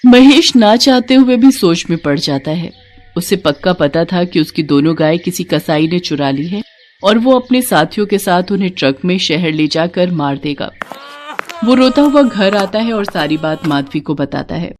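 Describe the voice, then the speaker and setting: A woman's voice: fairly low pitch, expressive, moderate pace, darkish tone. A woman reading a scripted devotional moral tale as a storyteller. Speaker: narrator.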